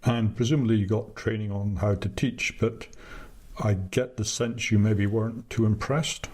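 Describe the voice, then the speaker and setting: A man's voice: fairly low pitch, fairly even intonation, normal speed, a little mellow, husky. A man interviewing a guest, asking brief, probing questions. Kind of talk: conversational interview.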